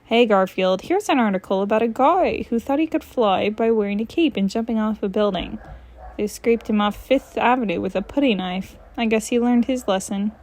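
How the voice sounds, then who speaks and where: A woman's voice: fairly high pitch, normal speed, a little mellow, natural voice. A woman voicing a dull, earnest, nerdy man who owns a cat, in a comic storybook read-aloud. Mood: explaining.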